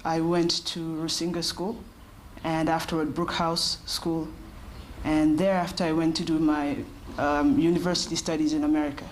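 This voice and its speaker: A woman, thoughtfully answering interview questions in a measured way with short pauses. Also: deep voice, flat delivery, a little hiss or hum, low-quality recording. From Kenya. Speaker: interview guest.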